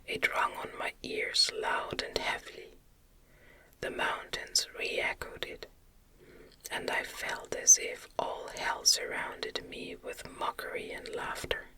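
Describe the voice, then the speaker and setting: A woman softly reading a gothic novel aloud as ASMR, in a breathy, gentle, soothing whisper. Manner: gentle, soothing, deliberate storytelling. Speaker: ASMR narrator reading an audiobook.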